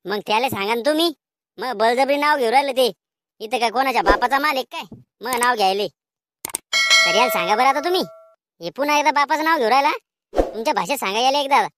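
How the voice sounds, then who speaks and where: High-pitched cheeky village schoolboy acted by a woman. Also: a little fast, long pauses, noisy background, close to an everyday voice. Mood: defensive. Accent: rural Maharashtra. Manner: taunting, argumentative, slangy. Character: cheeky, quarrelsome village schoolboy, quick to blame others. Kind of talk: comedy cartoon dialogue.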